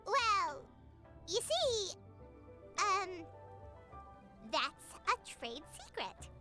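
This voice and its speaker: A woman voicing a tiny, excitable, boastful fairy companion in a video game, with a squeaky, childlike voice and bubbly manner. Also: very slow, soft background music, exaggerated voice. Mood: flustered, surprised. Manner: bubbly, refers to herself in third person.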